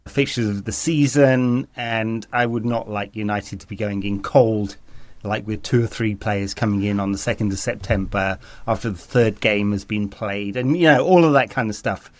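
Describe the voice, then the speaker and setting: A man co-hosting a football podcast, chatting casually with a slight cold that makes him a little raspy, often rambling and correcting himself. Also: on the low side, no room sound. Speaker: podcast co-host.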